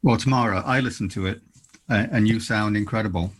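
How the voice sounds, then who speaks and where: A lowish man's voice with a Scranton, Pennsylvania accent and a husky grain. He asks questions and tells short stories in a measured, conversational way. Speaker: co-host. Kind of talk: casual interview chat.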